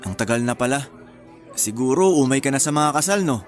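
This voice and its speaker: A man's voice: mid-range voice, animated, brisk, soft background music, lightly acted. An actor playing a casual, laid-back groom-to-be in his thirties in a radio drama, with a Filipino accent. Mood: casual, easygoing. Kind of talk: Tagalog radio drama dialogue.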